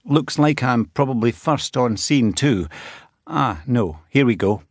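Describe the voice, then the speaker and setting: An urgent male police detective, acted out by a man reading an audiobook. The voice is husky and lifted, the delivery clipped and commanding. The sound is dry and close. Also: mid-range voice, lively intonation, a little slow, dry recording, pitched above the performer's own voice. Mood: tense, businesslike. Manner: clipped, urgent official speech. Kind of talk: audiobook character dialogue.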